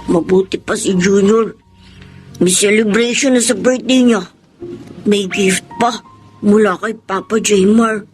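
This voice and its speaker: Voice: medium pitch, fairly even intonation, unhurried, faint music behind, close to an everyday voice. A performer in a radio drama playing a meek, polite adopted boy who speaks hesitantly and wistfully. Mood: wistful, hurt. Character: gentle, polite adopted boy, hurt older brother. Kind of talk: Tagalog radio drama acting.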